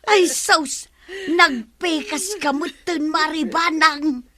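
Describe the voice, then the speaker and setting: Actress as high-voiced gossipy village neighbor woman. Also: takes it slowly, a crowd in the background. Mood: shocked. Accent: Ilocano. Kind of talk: Ilocano radio comedy drama acting.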